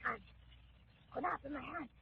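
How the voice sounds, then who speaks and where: A woman's voice: sits high, normal speed, gravelly. An actress playing a vengeful, scheming woman who taunts her victim, in 1930s radio drama style, on a dull old broadcast. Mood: frantic. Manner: taunting, theatrical.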